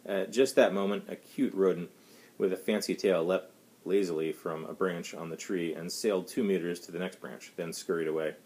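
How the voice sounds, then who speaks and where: A man's voice: deep voice, fairly even intonation, moderate pace, a little mellow. A middle-aged man reading a science fiction story aloud, recorded in a small home study. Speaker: author reading own story.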